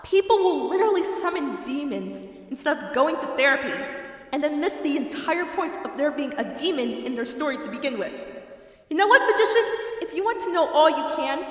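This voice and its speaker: An actress plays an immortal demon of knowledge, high and bright in voice, with theatrical, exasperated grandeur. She declaims like a being weary of eternity in an audio drama, far from the mic in a reverberant hall. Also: animated, a little fast. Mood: exasperated, commanding. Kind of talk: amateur audio drama acting.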